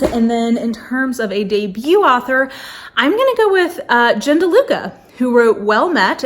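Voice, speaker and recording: Chatty, higher-voiced woman talking books. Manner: effusive and informal, frequent "like". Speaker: book reviewer vlogger. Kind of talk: casual chatty book talk to camera.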